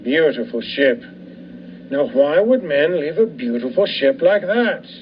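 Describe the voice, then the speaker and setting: Guy playing a gruff lighthouse chief, kinda high voice, blunt and mocking, muffled recording. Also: lively intonation, unhurried. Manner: brusque, mocking. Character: gruff blunt male head lighthouse keeper. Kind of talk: student radio play acting. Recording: muffled with faint hiss.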